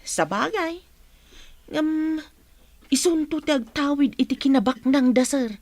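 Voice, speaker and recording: An actress with a Filipino Ilocano accent playing a chatty, frank household confidante in a radio drama. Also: female voice, high-pitched, animated, unhurried, a little mellow. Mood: confiding.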